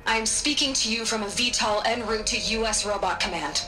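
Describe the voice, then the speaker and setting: An actress playing a blunt, commanding scientist in a video game cutscene, cutting and stern. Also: female voice, on the high side, fairly quick, noisy background, natural voice. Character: blunt, steely female scientist, middle-aged.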